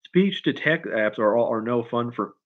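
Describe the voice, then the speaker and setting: A man chatting casually on a livestream as a writer answering questions, in a halting, rambling way, often repeating and restarting his phrases. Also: mid-range voice, animated, highs cut off. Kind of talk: casual unscripted chat, answering viewer questions.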